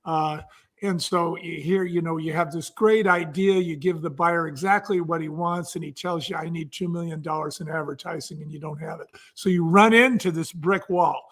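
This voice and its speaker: A man's voice: on the high side, steady tone, moderate pace, a bit bright. A man speaking as an entrepreneur guest, explaining conversationally with vivid examples.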